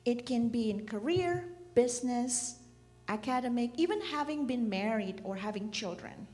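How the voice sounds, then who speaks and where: A middle-aged woman from Indonesia gives a reflective church message, speaking gently and asking the listeners questions. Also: a little high, animated, moderate pace. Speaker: children's program director giving a church message. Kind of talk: reflective sermon talk. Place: church hall. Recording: reverberant church hall.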